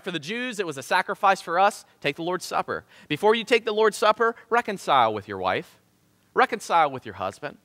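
A man in his thirties with a Southern Ohio accent preaches from a church stage, his voice bright and forceful. He leans on rhetorical questions, and his pitch rises and falls widely. The sound carries a long hall reverb. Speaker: pastor. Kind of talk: sermon. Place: church stage in front of a drum kit.

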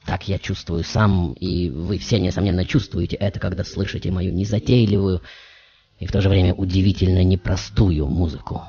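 A man playing a passionate, self-assured tango musician who tells his life story in dramatic, deliberate phrases. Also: deep voice, steady tone, gravelly, warm tone, slight background noise. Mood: grand, musing. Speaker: radio show host. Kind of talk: theatrical first-person storytelling as a famous musician. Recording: dull radio recording with music.

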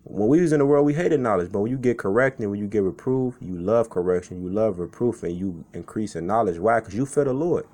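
A man's voice: lowish voice, animated, brisk, hardly any pauses, dark tone. A man from Chicago giving an informal sermon as a street preacher, reading scripture and talking it over in a loose, conversational way, often tagging lines with "man" and "okay".

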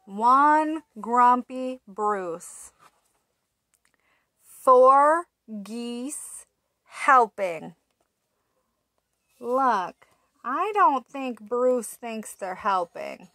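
A woman in her thirties reads a picture book to toddlers, drawing each word out very slowly and pausing to ask the children questions. Her voice sits a little high, and it is picked up close and dry by a webcam in a small room. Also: animated, bright, forceful tone, no echo. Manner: slow, sing-song, asks little listeners questions. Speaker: teacher reading aloud. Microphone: webcam at arm's length.

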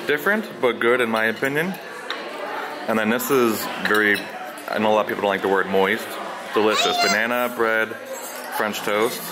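A man in his thirties talking casually and upbeat to viewers as a vlog host, recorded on a handheld camera. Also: lowish voice. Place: outdoor resort walkways, some restaurant interior. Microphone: handheld camera at arm's length. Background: music.

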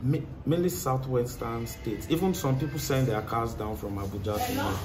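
A man's voice: medium pitch, normal speed, over loud music. Earnest Nigerian man outdoors. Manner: earnest, gestures a lot, repeats phrases for emphasis. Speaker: mechanic. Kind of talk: casual interview answers. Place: outdoor workshop by a tiled wall. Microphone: lapel mic. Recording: clear outdoor background noise.

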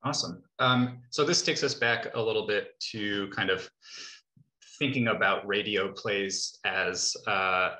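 A man's voice: on the low side, a little slow, sharp tone. A man in his thirties moderating a Q&A over a video call from a room at home. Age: 30s. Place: living room, indoors. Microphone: computer mic on a video call. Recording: roomy, echoing video-call sound.

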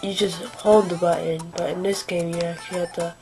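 A young woman giving casual, rambling commentary while playing a game at home, sniffly from allergies, with lots of fillers and quiet asides. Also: fairly low pitch, level tone, brisk, music in the background. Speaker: gameplay commentator. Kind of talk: casual let's-play commentary. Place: home room at a desk.

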